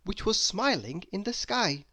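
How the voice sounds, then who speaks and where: Children's read-aloud narrator: bright, lively, measured pace, expressive storytelling. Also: mid-range voice, no echo, clean studio sound. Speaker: storybook reader.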